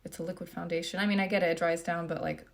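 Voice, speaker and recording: A young woman from Japan chatting casually through a livestream Q&A, reading comments aloud while doing her makeup, relaxed and full of fillers. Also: fairly low pitch, rapid-fire. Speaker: beauty vlogger.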